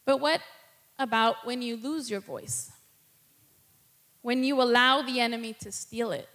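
Middle-aged woman, slightly high voice, guest preacher, candid and a bit nervous. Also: creaky, a bit bright. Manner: nervous, candid, laughs between thoughts. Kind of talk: personal testimony sermon.

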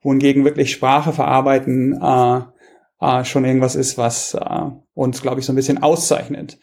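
A man's voice: medium pitch, little pitch movement, moderate pace, gravelly, a little mellow. A man, a researcher, talking casually as a podcast guest, hesitant, with many fillers and restarts. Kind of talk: casual interview talk.

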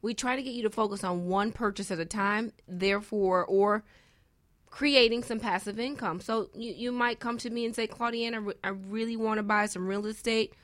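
A woman's voice: medium pitch, steady tone, normal speed, no echo. A woman speaking as a real estate expert on talk radio, explaining conversationally with restarts and acted-out examples. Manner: restarts and self-corrects, acts out examples.

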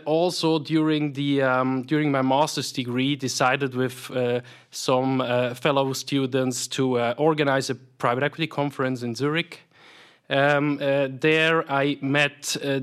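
A man in his thirties with a Swiss accent, answering interview questions about his career in a halting way, full of fillers and restarts. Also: mid-range voice, a bit bright, smooth. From Switzerland. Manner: hesitant, many fillers and repeated words. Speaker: private equity investment professional, guest.

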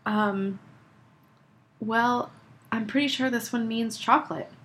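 A woman's voice: a little high, slow pace, fairly bright tone. A woman co-hosting a casual chat show, with dry, teasing replies and hesitant filler words. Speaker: podcast co-host.

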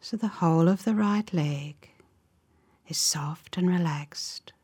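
A woman leading a guided relaxation meditation in a soft, breathy voice, giving gentle, soothing instructions in short, measured phrases. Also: on the low side. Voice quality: soft, breathy, often near a whisper.

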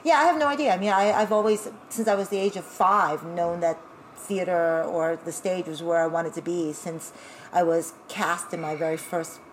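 A woman from Taiwan who grew up speaking Taiwanese, talking as a guest in a casual interview, animated and chatty with laughing asides. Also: on the low side, normal speed, slight background noise, rough recording. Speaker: guest, burlesque performer.

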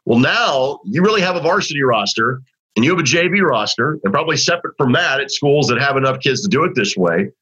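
A man talks high school basketball as a show host, rambling conversationally through restarts and fillers. His voice is forceful yet husky and a little raspy from overuse. The audio is dull and lo-fi. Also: lively intonation, quick pace. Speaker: sports broadcaster hosting a high school basketball talk segment. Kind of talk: casual sports talk.

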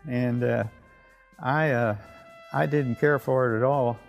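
An elderly man, recalling the war in a plain, halting, rambling way. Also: medium pitch, fairly even intonation, gravelly, soft background music. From Evansville, Indiana, United States. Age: 80s or older. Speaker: war veteran. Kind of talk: spoken reminiscence.

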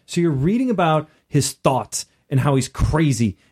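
A man with a slightly high voice talks as a podcast co-host. He is informal and opinionated, putting his points bluntly and with emphasis in loose, conversational speech. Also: big pitch swings, normal speed, a little mellow, slightly rough. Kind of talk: casual opinionated chat.

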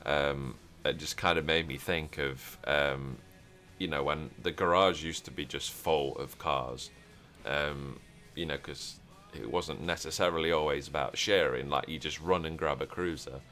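A man's voice: a bass voice, steady tone, measured pace, pushed and bright, faint music behind. A man hosting a roleplay podcast, chatting casually and rambling with many fillers and restarts. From Europe. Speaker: podcast host.

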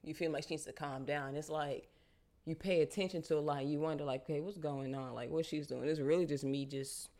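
A woman's voice: sits low, fairly quick. A young woman from Charlotte, a clothing designer, talking loosely and full of slang as a podcast guest. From Charlotte, United States. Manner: loose, slangy, animated, says "you feel me" often. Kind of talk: casual interview chat.

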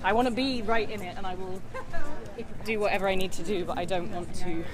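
A woman's voice: medium pitch, fairly even intonation, brisk, crowd noise. A woman interviewed casually as a protester while walking down a city street, starting hesitantly and leaning on filler words.

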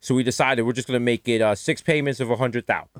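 A man's voice: mid-range voice, fast, no room sound, clean studio sound. A man co-hosting a comedy podcast, riffing in crude banter and jumping into mock voices. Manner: animated, jumps into mock voices, swings loud and soft. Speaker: podcast co-host.

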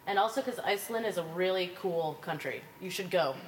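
A woman in her thirties answering rapid questions in a casual group chat, giggly and chatty. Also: on the low side. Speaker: interviewee, chemistry faculty. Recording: echoey room, faint background hum.

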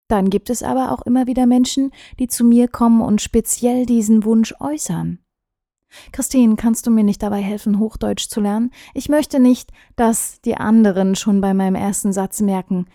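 A woman from Thuringia in Germany, a speech coach addressing her viewers in a measured, trained way. Her voice is mellow and warm at a middle pitch. The recording is close and dry. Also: no room sound. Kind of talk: scripted talk to camera.

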